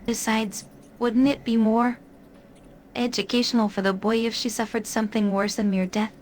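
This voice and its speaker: A woman's voice: on the high side, level tone, moderate pace, close to an everyday voice. Woman doing ancient evil seductress from a fantasy game, teasing n theatrical, bit of background noise. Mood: sly, sinister. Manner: teasing, sly, elegantly theatrical. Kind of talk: in-character game companion dialogue.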